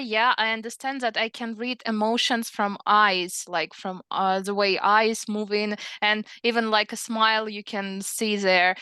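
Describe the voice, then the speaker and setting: A woman's voice: mid-range voice, sharp tone, clean tone, no echo. A woman moderating an online webinar over a video call. She talks in a chatty way and keeps dropping in "yeah".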